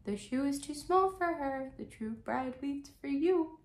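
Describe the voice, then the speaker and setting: Little storybook pigeon, very high singsong chant, crying a warning, echoey room. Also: female voice, expressive, a little slow. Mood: shrill warning.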